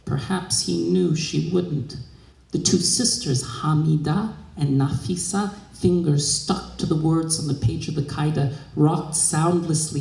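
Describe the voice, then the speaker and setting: A man giving an expressive literary reading of a scripted short story. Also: on the high side, vocal fry. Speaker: literary reader. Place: live reading in a museum room. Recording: echoey room.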